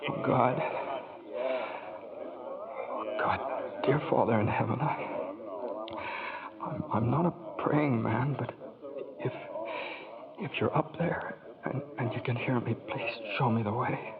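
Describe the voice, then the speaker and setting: Actor as halting, desperate family man. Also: mid-range voice, over a noisy crowd, lightly acted. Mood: despairing. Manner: halting, stammering, repeats himself. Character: desperate, irritable family man at wit's end. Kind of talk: 1940s radio acting. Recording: tinny reverberant 1940s radio, music behind.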